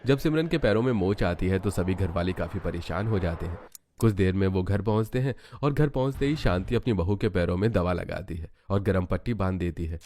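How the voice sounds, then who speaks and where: Male narrator, low voice, scripted storybook delivery, faint noise behind. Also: brisk, a little mellow.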